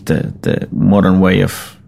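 A middle-aged Finnish-born man with a Finnish accent, a rock musician talking casually in English, his second language, in a halting, rambling, self-deprecating way. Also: sits low, fairly even intonation, creaky, a little mellow. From Finland. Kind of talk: casual spoken account.

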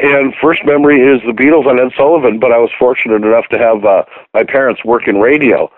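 A man's voice: mid-range voice, dry recording. A man from Washington State, a musician and producer, chatting casually in a phone interview, rambling and correcting himself as he goes. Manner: relaxed, rambling, self-correcting. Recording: tinny phone line, dry.

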